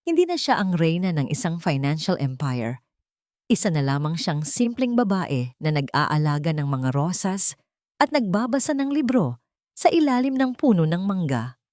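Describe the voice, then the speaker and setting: A woman with a Filipino accent narrates a scripted dramatic story in short, measured, sentimental phrases. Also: lowish voice, big pitch swings, a little mellow, no echo, studio quality.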